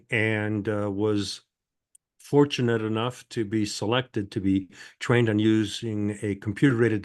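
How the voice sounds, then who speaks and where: An older man, answering as a guest in an interview in a halting, conversational way. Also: on the low side. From born in France, grew up in Michigan, United States. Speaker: podcast guest, sales and marketing consultant.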